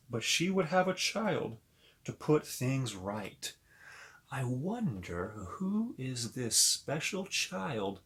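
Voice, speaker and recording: A man reading a children's story aloud as a pastor, pausing to talk directly and expressively to young listeners. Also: medium pitch.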